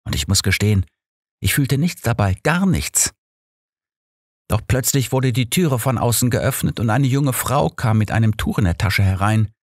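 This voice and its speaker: Mann spricht verbitterte Frau, leise gestehende Mörderin. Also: male voice, mid-range voice, animated, moderate pace, dry recording, clean studio sound, lightly acted. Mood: grim, confessional. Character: embittered woman quietly confessing to murder.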